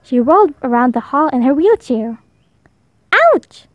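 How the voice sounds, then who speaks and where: A woman's voice: high-pitched, lively intonation, brisk, no echo, muffled recording. A woman narrating a children's story as a read-aloud storybook reader.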